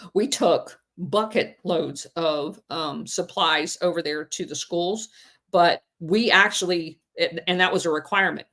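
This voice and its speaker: A woman's voice: lowish voice, a bit bright. A woman hosting a call-in podcast, giving plain-spoken advice with frequent ums. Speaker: podcast host.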